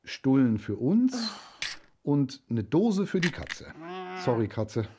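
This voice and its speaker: A man's voice: mid-range voice, sing-song, takes it slowly, background noise. A man acting an anxious, bookish foster father in a children's radio play, hesitant and fretful. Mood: grumbling.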